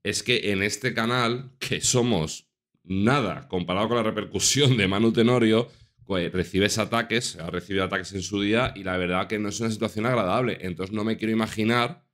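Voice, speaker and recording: A man's voice: lowish voice, fairly bright tone. A man in his thirties giving emphatic, indignant reaction commentary into a desk microphone in a small room. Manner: indignant, emphatic, rhetorical questions. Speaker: commentary youtuber. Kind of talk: reaction commentary over a TV clip. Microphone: desk microphone on a stand.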